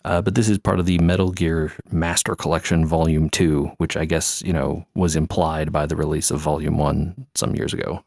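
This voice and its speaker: A man's voice: a bass voice, steady tone, moderate pace, few pauses, a little mellow, no echo, clean studio sound. A man co-hosting a podcast, chatting casually about video games. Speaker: podcast co-host.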